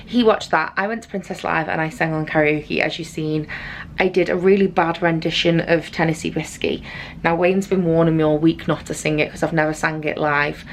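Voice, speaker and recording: A woman with a naturally raspy voice, hoarse from losing her voice, chatting through a rambling vlog recap in her cruise cabin on a handheld camera. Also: low-pitched, slight background noise. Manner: chatty and rambling, with frequent fillers. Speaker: travel vlogger. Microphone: handheld compact camera.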